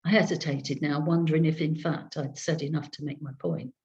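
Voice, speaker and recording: A woman reading a story aloud in the first person with a wry, sardonic, expressive delivery over a video call. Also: sits low, a little fast. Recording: dull lo-fi video call audio.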